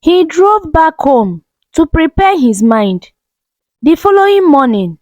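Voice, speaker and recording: A woman's voice: fairly high pitch, darkish tone, no room sound, low-quality recording. A woman telling a scripted story as a dramatic narrator.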